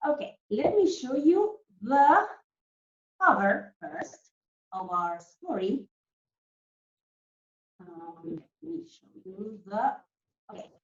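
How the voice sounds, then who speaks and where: Lady teacher doing storytime for little kids, really slow, very singsong, echoey muffled room recording. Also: fairly high pitch. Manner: asks children questions, animated and deliberate. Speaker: primary school teacher reading a story to pupils. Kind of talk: slow storytelling lesson for young children. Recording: roomy, dull lo-fi sound.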